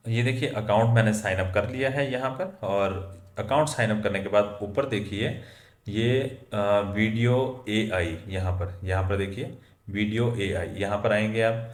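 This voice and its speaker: A man hosting a software tutorial, explaining step by step in an instructive way and often checking that listeners follow. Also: fairly low pitch, unhurried. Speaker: tutorial host. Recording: echoey room, slightly muffled.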